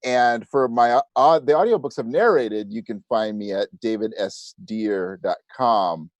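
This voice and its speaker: A man's voice: lowish voice, unhurried, no room sound. Man in dry, close-sounding casual chat. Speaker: podcast creator and audiobook narrator, interview guest.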